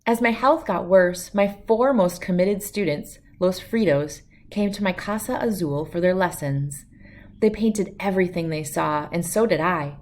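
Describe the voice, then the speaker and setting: Woman reading a children's story, slightly low voice. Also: unhurried, a little mellow. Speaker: children's book reader. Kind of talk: read-aloud storytelling in first person.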